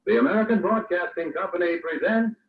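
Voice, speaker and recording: A man's voice: sits high, a little fast, slight background noise. A man playing a formal, declamatory network announcer in a scripted 1940s radio program. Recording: distant, phone-like old radio playback.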